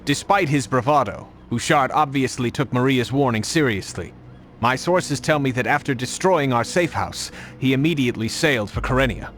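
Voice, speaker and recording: An actor playing a helpful, level-headed resistance informant in a video game, explaining in a matter-of-fact way. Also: male voice, medium pitch, normal speed, fairly bright tone, slight background noise, close to an everyday voice. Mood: businesslike. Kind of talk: video game cutscene acting.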